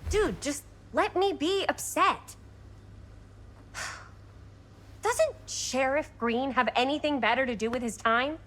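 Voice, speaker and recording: An actress playing a dramatic, sarcastic, excitable young woman in a video game, with a theatrical, teasing, slangy delivery. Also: high voice, slow, long pauses, full character voice. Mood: exasperated. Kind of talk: naturalistic video game cutscene acting.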